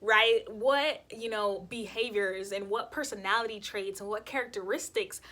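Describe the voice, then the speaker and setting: A woman's voice: mid-range voice, normal speed, bright, forceful tone. A young woman hosting her vlog, chatting casually to camera with lots of fillers. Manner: chatty, lots of "you know" and "kind of". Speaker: vlog host. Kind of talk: casual talking-to-camera narration.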